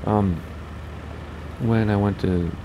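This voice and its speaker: A low, gravelly man talks his way through a flight sim run, chatty and thinking aloud as he goes. His voice is raspy, and a steady hiss of noise sits under the recording. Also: slow pace. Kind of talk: casual gameplay commentary.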